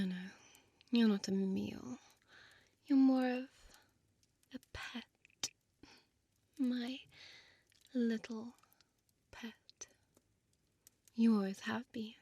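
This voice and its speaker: A woman's voice: a little high, steady tone, extremely slow, pauses often, mellow, husky, no echo, exaggerated voice. A woman playing a seductive, menacing female vampire in an intimate audio roleplay, toying with her prey in a teasing half-whisper and archaic wording. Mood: seductive, possessive.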